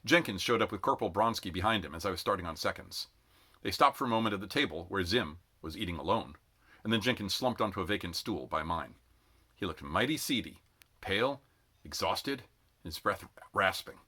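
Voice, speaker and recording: Male narrator for a read-aloud: forceful, sharp tone, lively intonation, dramatic phrasing, expressive storytelling. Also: low-pitched, brisk, husky. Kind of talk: expressive audiobook-style narration.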